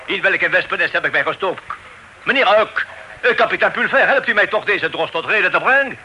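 A self-important deputy sheriff in a radio drama. His voice is rather high and very sharp, with officious, flowery phrasing and French flourishes. Light noise sits on the old recording. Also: expressive, fairly quick, exaggerated voice, rough recording. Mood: exasperated. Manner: officious, ornate speech peppered with French words. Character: pompous, flustered male Amsterdam deputy sheriff. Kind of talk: radio play acting.

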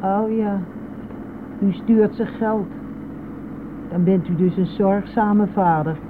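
A woman's voice: medium pitch, takes it slowly, close to an everyday voice. An actress playing a modest, concerned middle-aged mother-in-law in a radio play, speaking in a hesitant, earnest, plain way. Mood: questioning. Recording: noisy, phone-like old radio recording.

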